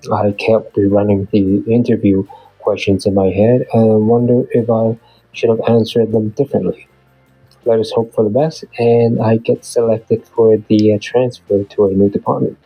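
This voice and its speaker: A man's voice: lowish voice, vocal fry, dark tone, husky, no echo, low-quality recording. A man hosting his own channel, giving a plainly read, earnest weekly progress recap while his voice is still off from a flu. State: voice off from recovering flu. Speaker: beginner artist and channel host. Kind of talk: scripted weekly progress narration.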